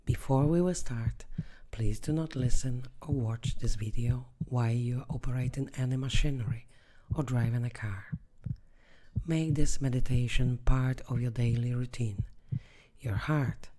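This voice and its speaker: A meditation guide speaking softly and soothingly in short, measured phrases, often dropping close to a whisper. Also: mid-range voice.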